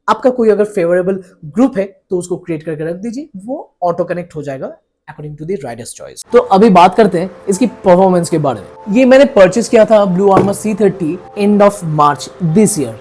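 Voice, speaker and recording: A man's voice: high-pitched, darkish tone. A man with an Indian accent giving a casual, explanatory product review as a vlogger, often mixing in English phrases. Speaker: vlogger reviewing motorcycle gear.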